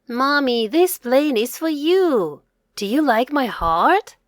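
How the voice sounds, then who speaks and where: A woman voicing an affectionate, playful young boy in a children's storybook, speaking eagerly in simple, expressive phrases. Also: sits high, slow pace, no room sound, studio quality, voice pushed up. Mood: eager, sweet. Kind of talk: children's storybook read-aloud.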